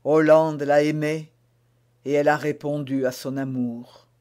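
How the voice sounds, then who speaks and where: A man's voice: on the high side, level tone, a little slow, darkish tone, natural voice. A man reading aloud as an ardent, lovestruck nobleman who speaks fervently and fatalistically. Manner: fervent, declamatory.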